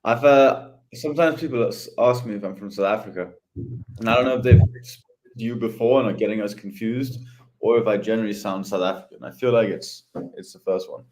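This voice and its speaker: A man chatting casually as a podcast guest, easygoing and hesitant with many pauses. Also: lowish voice, a little mellow, rough recording. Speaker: head of ecosystem, podcast guest.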